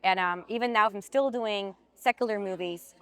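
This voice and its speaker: A young woman from Switzerland with a Swiss accent, an actress telling her story in a casual interview, chatty and animated. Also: mid-range voice, moderate pace. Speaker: actress, podcast guest.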